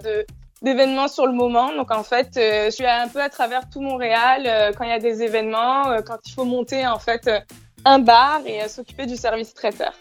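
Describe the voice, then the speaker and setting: A young woman with a southern French accent lightly touched by Quebec speaks in a fairly high voice. She answers as an interview guest in a casual way, pausing and restarting as she looks for words. Faint music plays behind her. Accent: southern French with slight Quebec traces. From southern France. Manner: hesitant, searching for words.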